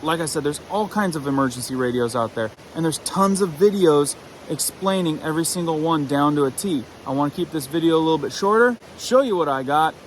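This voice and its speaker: A man's voice: a little high, animated, a little fast, a little hiss or hum, rough recording. A man giving a casual, enthusiastic product review, conversational as he runs through features.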